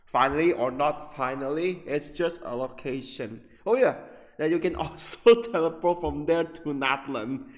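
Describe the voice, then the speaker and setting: A man giving casual, halting and rambling gameplay commentary as a streamer. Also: on the high side, animated. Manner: casual swearing. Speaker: gaming streamer. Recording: long echoing reverb, music behind. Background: game music.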